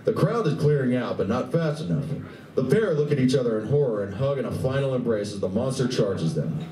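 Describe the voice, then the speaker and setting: A man's voice: mid-range voice, animated, brisk, warm tone, far from the mic, a little hiss or hum. A man giving dramatic scripted narration for a live radio play. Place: live stage reading at a convention. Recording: echoey room, picked up from afar.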